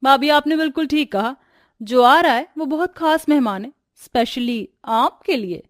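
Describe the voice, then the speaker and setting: Woman as young sister-in-law, cartoon, eager, sly, teasing, high dry voice. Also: moderate pace, no echo, lightly acted.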